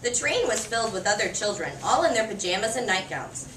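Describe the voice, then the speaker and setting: Lively child reader in an echoey room. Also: medium pitch, fairly quick. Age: child, first grader. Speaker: student reader in a class reader's theater. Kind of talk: expressive reading of a picture-book story aloud. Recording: distant, echoey, noisy room recording.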